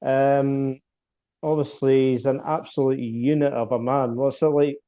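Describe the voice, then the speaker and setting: A man's voice: medium pitch, flat delivery, unhurried, mellow, highs cut off. A Scottish man hosting a darts podcast interview, asking questions in a stumbling, casual way with repeated words. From Scotland. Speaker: podcast host.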